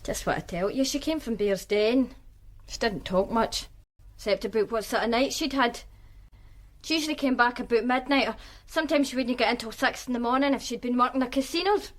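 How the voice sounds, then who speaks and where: A woman's voice: on the high side, fairly quick, natural voice. An actress playing a guarded, streetwise woman from Glasgow with a Glaswegian accent, talking in a dry, sardonic way in a radio drama. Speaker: witness, flatmate of the victim.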